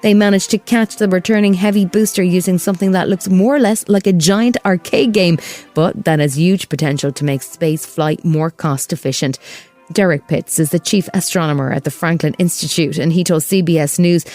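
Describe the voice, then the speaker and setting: A woman's voice: on the low side, moderate pace, darkish tone. A woman hosting a news podcast, reading scripted narration. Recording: clear music bed underneath. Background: music.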